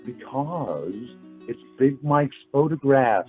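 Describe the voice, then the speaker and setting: A man acting a flustered, forgiving young suitor in a radio play, halting and gentle. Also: mid-range voice, lively intonation. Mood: relieved, fond. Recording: tinny narrow-band audio, faint music.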